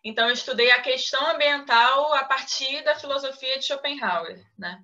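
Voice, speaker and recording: A woman with a Rio de Janeiro accent carrying Salvador traces, a philosopher answering interview questions in a measured, academic way. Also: sits high, sharp tone, highs cut off. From Brazil, Salvador and Rio de Janeiro. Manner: measured, academic, tags phrases with "né". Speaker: guest, philosopher and psychoanalyst.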